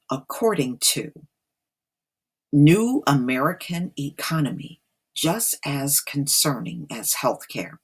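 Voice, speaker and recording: Husky, slow-talking woman with low voice. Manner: emphatic, repeats points for stress, addresses listeners directly. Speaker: online commentator and host. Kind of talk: reading an article aloud with off-the-cuff commentary.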